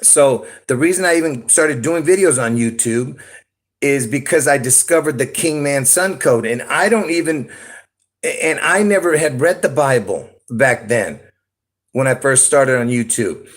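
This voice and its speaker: A man from Lebanon talks into his phone on a solo livestream. His voice sits at an ordinary middle pitch. He wanders from point to point, chuckling and checking in with "all right?". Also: animated, measured pace. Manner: meandering, stops to ask "okay?" and "all right?", chuckles often. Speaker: YouTube livestreamer. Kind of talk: rambling solo livestream monologue.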